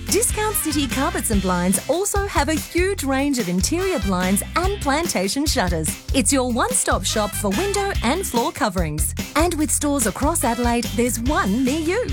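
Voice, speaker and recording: A woman voicing a radio ad with bright enthusiasm. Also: high voice. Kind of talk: radio ad voice-over.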